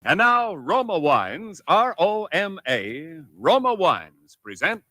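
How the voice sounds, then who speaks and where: A man's voice: high voice, big pitch swings, slow pace, bright, forceful tone. A man announcing for a sponsor in 1940s radio style, with a formal, emphatic, polished delivery. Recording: old radio broadcast with music.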